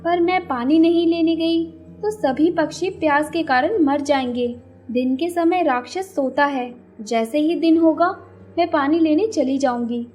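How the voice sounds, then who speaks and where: A woman voicing a brave, caring young female bird in a cartoon fable, earnest and deliberate. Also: high-pitched, a little mellow, soft background music. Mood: determined.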